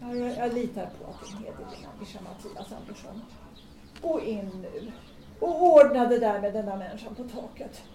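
Actress as suspicious older woman, audio drama, high voice, hesitant, stubborn, distant roomy recording. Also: animated, a crowd in the background, close to an everyday voice. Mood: flustered. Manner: hesitant, suspicious, repeats herself. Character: wary, stubborn, anxious older lady guarding her building. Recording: roomy, distant mic with noise.

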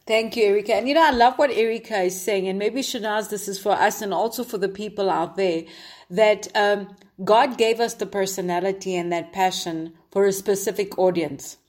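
A woman's voice: medium pitch, moderate pace. A woman hosting a faith discussion, inviting others in and asking questions. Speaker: host. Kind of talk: hosting a faith talk show.

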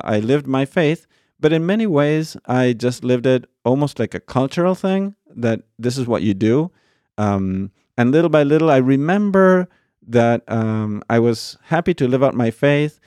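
A mellow-voiced man from Argentina speaks as a priest recounting his own story. He talks in an unhurried, thoughtful way, filling pauses and checking in with the listener. The sound is dry and close. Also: medium pitch, animated, no echo. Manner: thoughtful, many pauses and fillers, says "right?" often. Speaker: priest guest. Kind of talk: conversational storytelling.

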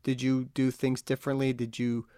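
A male podcast host with a smooth, clean voice, recorded dry and close. He asks his questions hesitantly, rephrasing as he goes, at an ordinary middle pitch. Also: monotone, moderate pace, mellow, dry recording. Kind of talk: casual interview questions.

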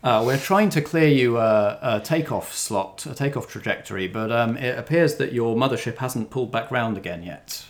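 A man's voice: medium pitch, lively intonation, natural voice. A man playing a polite, hesitant space port traffic controller in an improvised role-playing game. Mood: officious, puzzled. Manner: polite, halting, querying.

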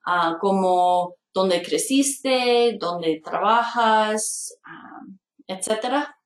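A woman's voice: medium pitch, animated, extremely slow, echoey room, slight background noise. A woman hosting a Spanish-practice podcast, speaking hesitantly with many fillers and laughing often. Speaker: podcast host. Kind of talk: slow learner-level Spanish podcast chat.

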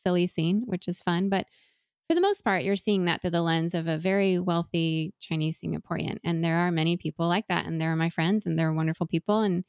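A woman's voice: lowish voice, fairly quick, a little mellow, no room sound, studio quality. A woman in her forties who grew up in Venezuela, talking earnestly and thoughtfully as an interview guest, often restarting her sentences. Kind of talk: reflective interview conversation.

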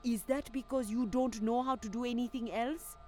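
A woman playing a zealous, scornful cult apostle in a war audio drama, measured, imperious and challenging. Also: fairly high pitch, fairly even intonation, darkish tone, faint music behind, natural voice. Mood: scornful, persuasive. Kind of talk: scripted audio drama acting.

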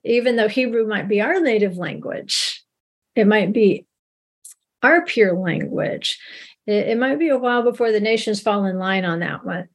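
Breathy woman giving a relaxed Bible lesson. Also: medium pitch, moderate pace. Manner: conversational, with frequent restarts. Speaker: Bible teacher. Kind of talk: teaching a recorded Bible lesson.

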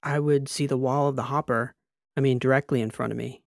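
A man voicing a strained, defiant female lieutenant in an audiobook, answering in halting, clipped phrases. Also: medium pitch, fairly even intonation, vocal fry, dry recording, studio quality, close to an everyday voice. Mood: halting, concentrating. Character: strained, defiant female army lieutenant recalling combat drop.

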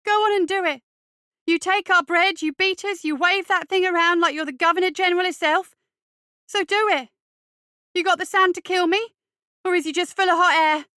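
A reckless little street urchin, played by a woman, high-pitched and loud, trembling with indignation. The boy is defiant and shrill, in a dry audiobook-style recording. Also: fairly even intonation, normal speed, no echo. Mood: reckless fury.

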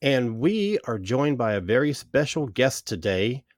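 A man hosting a music podcast, with a mid-pitched voice and a dry, close sound. He welcomes his guest and asks his questions in a friendly, measured way. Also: sing-song, no room sound. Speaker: podcast host.

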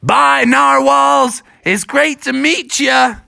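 A man's voice: very high-pitched, slow, gravelly, pushed and bright, no echo, studio quality, voice pushed up, full character voice. A man voicing a bold, friendly grizzly bear hero in a children's story. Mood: cheerful.

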